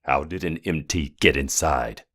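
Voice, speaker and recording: A man's voice: very deep voice, measured pace, a bit bright, heavily acted. A man playing a loyal, concerned royal guardsman in an audio drama, speaking formally and respectfully. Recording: music clearly behind the voice.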